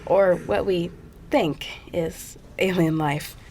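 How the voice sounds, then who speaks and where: A woman playing an earnest scientist giving a briefing, measured and explanatory, in an audio drama. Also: fairly low pitch, steady tone, darkish tone, slight background noise.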